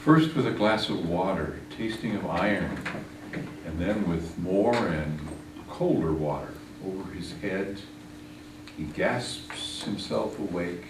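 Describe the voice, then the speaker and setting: A man reading poetry aloud in a measured recitation style. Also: low voice. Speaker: poetry reader. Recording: echoey distant room recording, clear noise.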